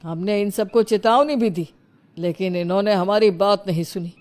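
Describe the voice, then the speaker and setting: A woman's voice: mid-range voice, moderate pace, a little mellow, lightly acted. Lady doing indignant old-fashioned village guy, formal, accusing, cartoon tale. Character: rigid, indignant traditional village man.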